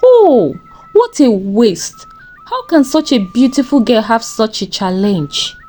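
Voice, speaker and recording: A woman's voice: on the high side, very animated, takes it slowly, warm tone, slight background noise, pitched above the performer's own voice. A woman voicing gossiping, judgmental villagers in a folktale. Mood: pitying lament. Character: gossiping, scornful villagers lamenting and mocking.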